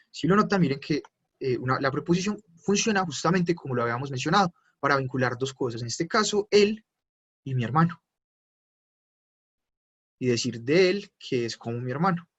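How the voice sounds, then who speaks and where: A man teaching German in an online class, explaining patiently step by step with frequent fillers. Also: fairly high pitch. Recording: dull lo-fi online class audio.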